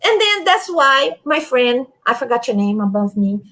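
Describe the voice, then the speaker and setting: High-voiced woman with halting, accented speech. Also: very animated, a bit bright. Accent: non-native, from a stroke. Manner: emphatic, repeats herself, halting restarts. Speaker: livestream guest. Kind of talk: casual chat.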